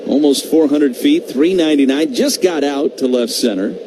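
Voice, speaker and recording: A man doing live radio baseball play-by-play, conversational between pitches and excitable on the big calls. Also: moderate pace. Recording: noisy live radio broadcast feed.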